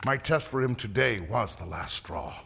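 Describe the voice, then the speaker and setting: Man playing a troubled, stately king with gravelly voice. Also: mid-range voice, expressive, fairly bright tone, heavily acted. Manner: formal, stately, measured archaic speech. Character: weary, anguished king and worried father, formal and grave. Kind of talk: audio drama acting.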